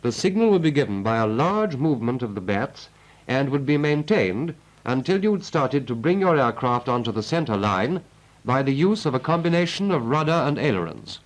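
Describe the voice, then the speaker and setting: A man's voice: medium pitch, poor audio. A British man narrating a 1940s military training film as an instructor, in a clipped, methodical and instructive manner.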